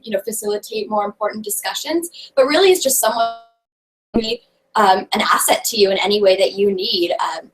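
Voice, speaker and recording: A woman's voice: medium pitch, fairly bright tone, rough recording. A young woman on a student video call, earnest and reassuring. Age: young adult. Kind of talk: casual video call chat.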